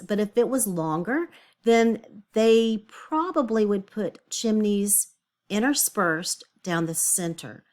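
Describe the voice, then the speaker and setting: A woman teacher giving a read-aloud lesson for children, explaining things step by step and thinking aloud. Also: medium pitch. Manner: explanatory, asks the listener questions and thinks aloud.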